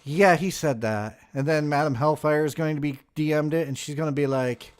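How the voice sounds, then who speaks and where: A man's voice: a little high, moderate pace, clean tone. A man in his forties reacting to a video with casual, profane, mocking commentary and joking asides. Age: 40s. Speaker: video host reacting to footage.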